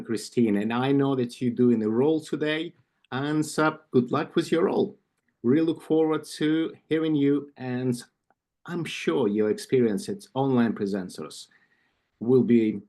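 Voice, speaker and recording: A man with a Ukrainian accent reads a club ceremony script over a video call. He goes at an unhurried pace, stopping for asides and small corrections along the way. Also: mid-range voice, darkish tone. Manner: slow, careful reading with asides and self-corrections. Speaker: club vice president of membership. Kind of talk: reading an induction ceremony script at an online club meeting.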